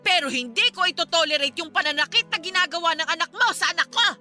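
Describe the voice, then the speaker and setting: Angry protective filipino mom in a radio drama, super high piercing voice, music behind. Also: female voice, quick pace, exaggerated voice. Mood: accusing, upset. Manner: forceful, accusing, indignant. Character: angry, protective middle-aged mother confronting her friend.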